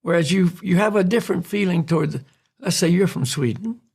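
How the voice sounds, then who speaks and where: An American man from North Carolina, a podcast host calmly explaining spiritual ideas in a reflective, unhurried way. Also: high voice, creaky, breathy edge. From North Carolina, USA. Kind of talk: spiritual discussion.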